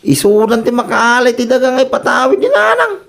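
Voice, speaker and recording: Guy acting scheming excitable husband, ilocano radio comedy, voice swings up and down a lot. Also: extremely high pitch, a little fast, gravelly, exaggerated voice. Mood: defensive, scheming. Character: excitable husband scheming to father a son for inheritance.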